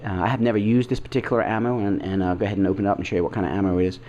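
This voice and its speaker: A man's voice: on the low side, steady tone, a little mellow, muffled recording, slight background noise. Nasal man rambling with a head cold. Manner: rambling, halting, many fillers and throat clearing. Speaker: video host. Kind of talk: casual unboxing talk.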